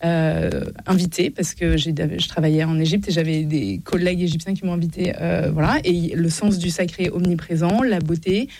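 A woman's voice: on the low side, fairly even intonation, normal speed, few pauses, darkish tone, no echo. A woman talking as a guest, reflecting thoughtfully and earnestly. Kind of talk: conversational reflection.

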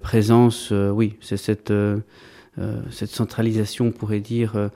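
A man's voice: fairly low pitch, flat delivery, a little slow, dark tone. A man in his thirties, an Orthodox priest from Greece raised in Belgium, answering interview questions hesitantly with frequent fillers and restarts. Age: 30s.

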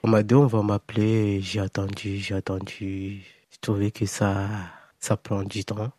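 A young man from Mayotte tells his story haltingly in short, simple phrases. His voice is low and flat in melody. The recording is close and dry. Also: dry recording. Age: 20s. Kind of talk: recorded personal testimony.

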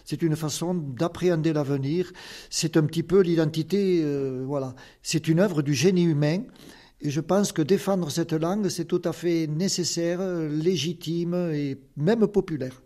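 An older man speaks with a strong southern Occitan accent as a guest in an interview. His voice sits a little high yet sounds mellow and soft-edged. He explains things earnestly and fills his pauses with hesitations. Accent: Occitan southern French. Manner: earnest, explanatory, with many fillers. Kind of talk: interview answers.

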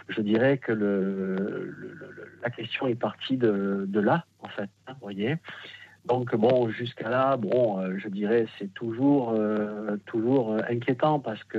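A man from France, calling in to share his story, halting and full of restarts. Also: fairly low pitch. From southern France. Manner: halting, many restarts and fillers, confiding. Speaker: caller. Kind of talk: phone-in testimony. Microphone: mobile phone. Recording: dull phone line.

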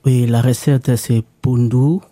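A man's voice: mid-range voice, steady tone, takes it slowly, warm tone, no room sound. A man from DR Congo whose first language is Lingala, speaking French with a Congolese accent as a radio guest, hesitant with many fillers and restarts. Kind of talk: radio interview.

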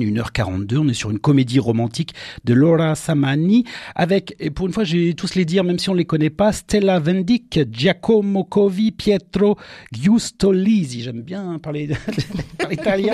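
A man's voice: fairly high pitch, slightly rough. A man hosting a film review show, chatting casually, introducing films and asking his guests questions. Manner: lively, guides the talk, asks guests questions. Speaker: radio film show host.

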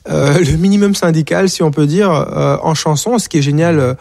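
A man's voice: a little high, normal speed, vocal fry, darkish tone, dry recording, studio quality. A man in his forties from Rwanda, a singer being interviewed, speaking hesitantly with many fillers and repeated words. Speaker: singer and author, interview guest. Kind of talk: radio or TV interview.

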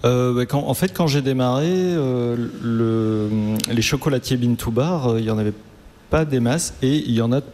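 A man speaks in a mellow, soft-toned voice, pausing often with fillers as he works through his answers. He is a chocolatier guest chatting casually in an interview. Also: medium pitch. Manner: hesitant, many fillers.

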